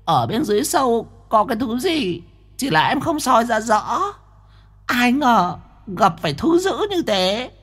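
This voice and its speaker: A woman voicing a chatty, easily frightened young female spirit medium in a breathy voice. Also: on the high side, sing-song, unhurried, full character voice. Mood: confiding. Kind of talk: audiobook character voice.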